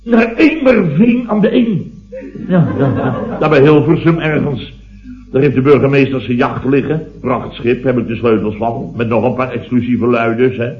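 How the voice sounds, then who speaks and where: An actor playing a blustering middle-aged building boss who rambles indignantly in a late-1960s Dutch radio comedy serial. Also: male voice, mid-range voice, normal speed, lightly acted. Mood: boastful, jovial. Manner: exclamatory, rambling, indignant storyteller with tag words. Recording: muffled old radio recording.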